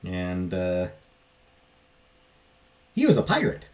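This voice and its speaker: Very deep-voiced man, casual and halting. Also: wildly swooping pitch, a little mellow. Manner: halting, rambling, trailing off. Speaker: book reviewer. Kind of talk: casual unscripted book review.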